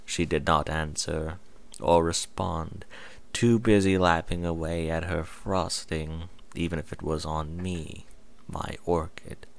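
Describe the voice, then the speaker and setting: A man's voice: sits low, fairly even intonation, slow, creaky. A man reading fan fiction aloud as narrator, sometimes stumbling and rereading a phrase. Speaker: story reader.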